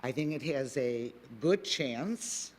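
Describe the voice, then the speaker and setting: Male developer at a planning hearing; halting delivery, mid-range voice. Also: medium pitch, lively intonation, a little hiss or hum. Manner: halting, many fillers and restarts. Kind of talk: public meeting testimony.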